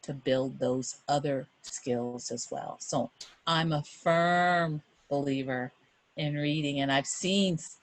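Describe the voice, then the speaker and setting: A woman's voice: sits low, slow pace, poor audio. A middle-aged woman talking as a guest reader to children and families, explaining things in an earnest, encouraging and emphatic way.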